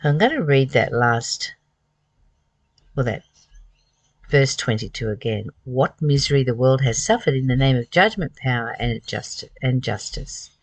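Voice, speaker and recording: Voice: mid-range voice, lively intonation, a little slow, no room sound. A host reading aloud from a spiritual book and commenting on it, earnest and emphatic, with repeated phrases and rhetorical questions.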